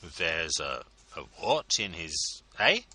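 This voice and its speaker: A man voicing an awkward, slow-witted young gentleman who talks in a halting, stiff way. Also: low voice, big pitch swings, full character voice.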